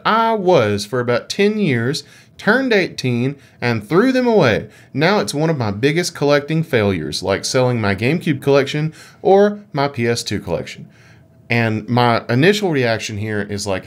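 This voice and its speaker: A man co-hosting a gaming podcast in casual chat, with an animated, jokey way of talking. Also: medium pitch.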